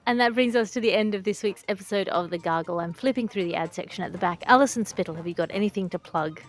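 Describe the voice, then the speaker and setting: Woman, comedy podcast host, dry banter, brisk sign-offs, faint music underneath. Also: medium pitch. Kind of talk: casual comedy chat.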